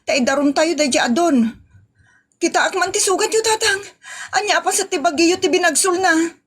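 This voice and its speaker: A woman plays an outspoken daughter in an Ilocano radio comedy. Her voice is high and her manner feisty and fussing, with an Ilocano accent. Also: moderate pace, fairly bright tone. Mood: alarmed.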